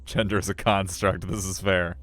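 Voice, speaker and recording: A man with a low voice reads a story game aloud as a streamer. He moves between narration and chatty, joking asides, over soft game music. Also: flat delivery, moderate pace. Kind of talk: live reading of a visual novel with casual commentary.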